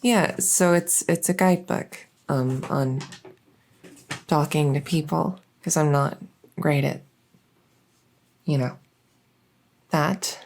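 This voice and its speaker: A woman's voice: low-pitched, steady tone, takes it slowly, long pauses, darkish tone, a little hiss or hum. A woman playing a shy, socially awkward vampire in an ASMR roleplay, speaking hesitantly with anxious stammers. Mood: flustered, hesitant.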